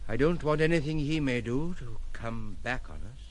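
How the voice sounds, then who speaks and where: Man playing a troubled gentleman with swooping delivery. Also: medium pitch, lively intonation, normal speed, lightly acted. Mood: uneasy, earnest. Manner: articulate, formal, theatrical phrasing. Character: troubled, principled gentleman, articulate, brooding and protective. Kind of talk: audio drama acting.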